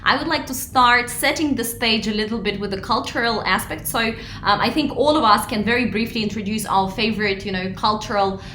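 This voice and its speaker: A woman's voice: fairly high pitch, a little fast, hardly any pauses, bright, forceful tone, reverberant, slight background noise. A woman hosting a live audio panel, who guides the talk and welcomes each speaker. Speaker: host.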